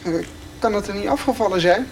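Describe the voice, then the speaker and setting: An actor playing an excitable, anxious male traveller in a radio soap. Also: high voice, quick pace, slight background noise. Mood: agitated.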